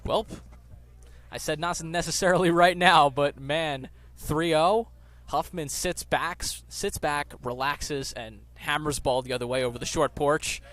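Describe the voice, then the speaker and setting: A man's voice: on the high side, measured pace, fairly bright tone. A man giving conversational color commentary on a live baseball broadcast.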